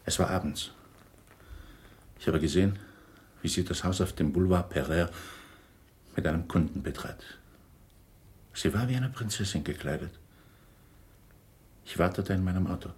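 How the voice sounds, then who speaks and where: An actor in a German radio drama playing a grave, middle-aged uncle who confesses insistently, in a deliberate and dramatic manner. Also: male voice, deep voice, darkish tone, natural voice. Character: confessing older uncle, grave, self-justifying yet pleading.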